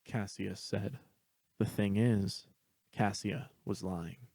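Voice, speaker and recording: A man's voice: lowish voice, fairly even intonation, takes it slowly, darkish tone, dry recording. A man reading scripted story narration as the narrator.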